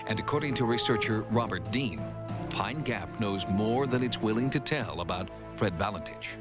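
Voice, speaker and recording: A man's voice: lowish voice, animated, moderate pace, music in the background. A man narrating a documentary from a script in a dramatic style.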